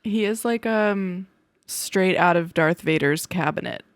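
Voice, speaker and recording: A woman's voice: fairly low pitch, a little slow, no room sound. A woman co-hosting a podcast, talking through her thoughts in casual conversation. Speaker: podcast co-host.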